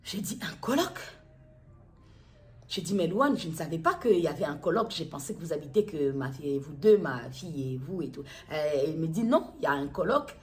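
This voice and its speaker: A middle-aged woman with a Kinshasa Congolese accent and a somewhat low-pitched voice. She tells her account in her own words, as a mother addressing viewers. Her delivery is halting, with phrases repeated and restated as she goes. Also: lively intonation. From Democratic Republic of the Congo. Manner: halting, repetitive, circles back to restate phrases. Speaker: mother making a public appeal video. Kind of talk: personal testimony to camera, unscripted recounting.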